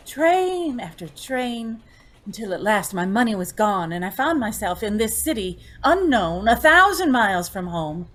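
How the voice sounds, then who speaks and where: A woman's voice: fairly high pitch, a bit bright, exaggerated voice. A woman playing a tormented, pleading young woman, tearful and melodramatic in an old-time radio drama. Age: young adult. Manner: tearful, breathlessly emotional, melodramatic.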